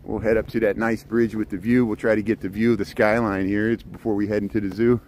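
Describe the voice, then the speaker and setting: A man's voice: fairly low pitch, little pitch movement, darkish tone. Midwestern man chatting outdoors over background noise. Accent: American Midwestern. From Indiana, United States. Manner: halting, chatty, jumping between subjects. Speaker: livestreamer. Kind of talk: casual rambling walk-along livestream chat. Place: outdoors, walking in a city park. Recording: noisy outdoor ambience with traffic.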